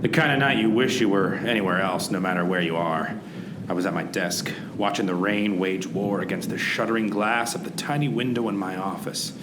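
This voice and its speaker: An actor playing a brooding, wounded private eye in a hardboiled radio play, with melodramatic delivery and old-time detective slang. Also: male voice, low voice, fairly quick, lightly acted. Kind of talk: hardboiled noir radio play narration and dialogue. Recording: echoey room with loud background noise.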